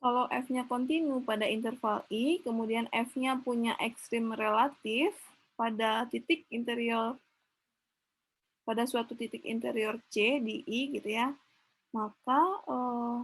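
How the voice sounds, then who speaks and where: High-voiced woman lecturing, halting and informal. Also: fairly even intonation, husky, low-quality recording. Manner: thinks aloud, frequent fillers and self-corrections. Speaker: math lecturer. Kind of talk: informal lecture with screen notes.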